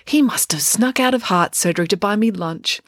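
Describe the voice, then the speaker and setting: A woman's voice: medium pitch, expressive, normal speed, dry recording, studio quality, voice pushed up. A woman voicing a breezy, shamelessly cheerful young girl with a gushing manner in a story. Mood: excited.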